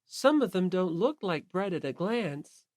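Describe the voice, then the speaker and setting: A woman's voice: fairly low pitch, very animated, measured pace. Cool, murmuring teenage girl, acted by a woman. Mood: cool, pensive. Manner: murmuring, detached. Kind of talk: audiobook character acting.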